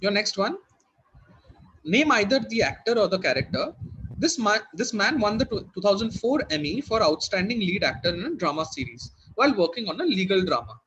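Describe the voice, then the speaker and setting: A man's voice: sits high, very animated, brisk, fairly bright tone, a little hiss or hum, rough recording. A man working as quiz master, reading formally worded questions to contestants and giving brief verdicts.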